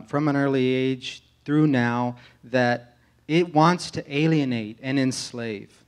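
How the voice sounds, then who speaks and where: A man with an American accent and a mid-pitched voice gives an informal testimony to a church as a candidate for pastor. He speaks earnestly, feeling his way through phrases in a halting manner. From United States, grew up in Tennessee.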